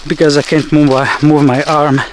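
A man's voice: fairly high pitch, little pitch movement, moderate pace. A man in his thirties talking casually and matter-of-factly to camera as an outdoor vlog host, recorded in a forest. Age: 30s. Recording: outdoor camera audio with clear background noise.

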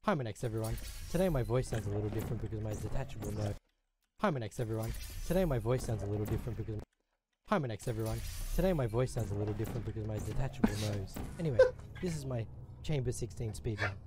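A man's voice: fairly low pitch, expressive, fast talker, very noisy. Man with a pinched, nasal voice. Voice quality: nasal, nose pinched shut. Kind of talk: reading a short fan dub recording.

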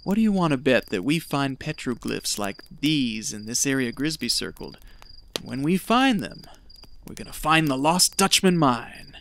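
An actor playing a greedy, callous treasure hunter in an audio drama, talking in a scheming, folksy, persuasive way. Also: male voice, medium pitch, very animated, normal speed, vocal fry, fairly bright tone, slight background noise.

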